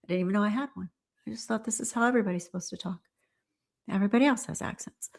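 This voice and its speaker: A woman from the United States with a General American accent, hosting a livestream and chatting in a rambling, animated way. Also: medium pitch, racing, vocal fry. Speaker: livestream host. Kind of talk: casual livestream chat.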